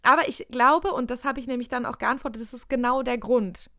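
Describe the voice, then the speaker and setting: A woman's voice: fairly high pitch, fairly quick, sharp tone, no room sound, clean studio sound. A young woman co-hosting a podcast, talking casually and thinking aloud with fillers and restarts. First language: German. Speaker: podcast co-host. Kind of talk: casual chat.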